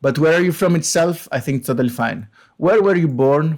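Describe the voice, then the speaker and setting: Greek-accented male host, emphatic opinion talk, slightly higher voice. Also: expressive, a little mellow. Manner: argumentative, emphatic, reads quotes slowly. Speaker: channel host. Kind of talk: opinion commentary.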